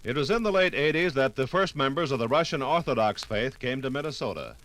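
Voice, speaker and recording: A man reading scripted 1950s radio documentary narration in a formal, measured announcer style. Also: medium pitch, expressive, a bit bright.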